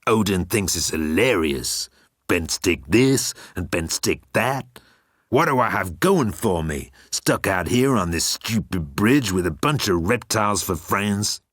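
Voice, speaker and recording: A man's voice: low-pitched, lively intonation, a little slow, fairly bright tone, no room sound, clean studio sound, full character voice. A man voicing a pompous, self-pitying god who guards a bridge, easily offended and declamatory, in an audiobook. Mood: sulky, indignant.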